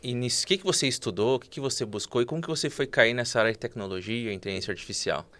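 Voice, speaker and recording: A man hosting a finance interview show, giving energetic intros. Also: mid-range voice, rapid-fire. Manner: energetic intros, frequent "uhum" backchannels.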